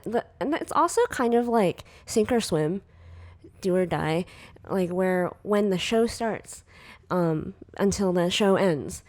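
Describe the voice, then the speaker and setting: A woman in her thirties with a quiet, soft voice, co-hosting a casual podcast chat and laughing often as she rambles. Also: medium pitch. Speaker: podcast co-host, artist.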